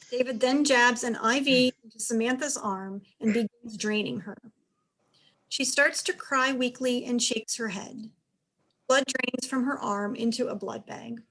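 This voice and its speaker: Higher-pitched woman narrating stage directions. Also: fairly bright tone, poor audio. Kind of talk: scripted narration.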